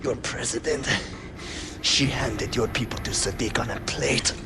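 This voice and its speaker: An actor playing a wounded, taunting male enemy conspirator in a video game, grim and halting. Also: mid-range voice, lightly acted. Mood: grimly taunting. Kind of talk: video game cutscene acting. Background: loud cinematic music.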